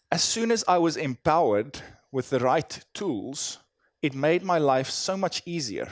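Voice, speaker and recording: A man's voice: medium pitch, no room sound, studio quality. A man from South Africa with a South African accent, giving a conference talk in a chatty, self-deprecating way with many fillers and restarts. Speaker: conference speaker. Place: conference hall stage.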